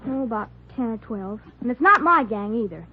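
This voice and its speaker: A woman's voice: fairly high pitch, expressive, fairly quick, breathy edge. A young woman with an American accent playing a defiant, sullen gang girl who sounds indignant, in a 1950s radio drama style. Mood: indignant, blaming. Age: young adult. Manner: sullen, indignant, sharp-tongued. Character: defiant, blame-shifting nineteen-year-old girl gang member. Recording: dull, lo-fi old radio recording.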